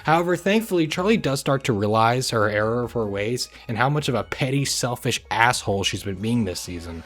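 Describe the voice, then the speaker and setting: A man's voice: medium pitch, lively intonation, fairly quick, a bit bright. A man hosting a video review, delivering scripted commentary in an informal, opinionated way. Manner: informal, opinionated, occasional swearing. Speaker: host of a video review channel. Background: music under the voice.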